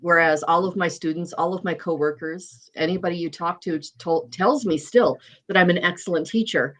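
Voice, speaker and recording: A woman in her forties sharing openly in a casual recovery meeting, talking haltingly with many pauses. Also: on the low side, fairly bright tone. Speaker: meeting participant. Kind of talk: casual chat.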